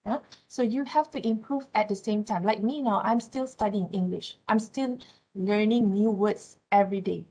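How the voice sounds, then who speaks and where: A woman's voice: medium pitch, moderate pace, dark tone, rough recording. A woman with a Malaysian accent, Malay her first language, lectures students in English. She talks through her points with frequent "uh"s and keeps asking "right?" and "yeah?" to check that the class follows. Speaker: university lecturer.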